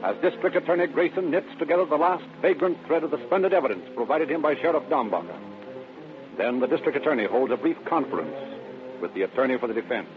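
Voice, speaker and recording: An actor as a solemn, dramatic crime-story narrator, reading formal script in old radio style. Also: male voice, fairly high pitch, lively intonation, fast talker, close to an everyday voice. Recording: tinny old radio recording. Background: music.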